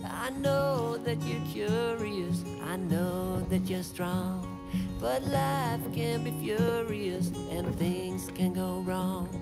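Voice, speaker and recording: A woman sings a gentle ballad, drawing each line out very slowly. Her voice sits in a middle range and rides on top of a loud, full instrumental backing. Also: medium pitch. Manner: gentle, drawn-out singing. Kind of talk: slow sung pop ballad. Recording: music track with instruments.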